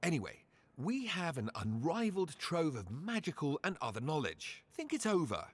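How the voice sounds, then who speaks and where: An actor playing a courteous, self-deprecating imperial mage envoy in a fantasy game, polite and wry with some hesitation. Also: male voice, a little high, huge pitch swings, normal speed, breathy edge, heavily acted. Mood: politely wry. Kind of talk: scripted fantasy game cutscene acting.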